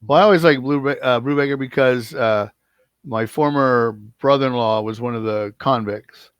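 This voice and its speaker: A man's voice: medium pitch, moderate pace, clear, resonant tone, no room sound, muffled recording. A man co-hosting a video call chat, eager and tripping over his words as he talks. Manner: eager, stumbles and repeats words.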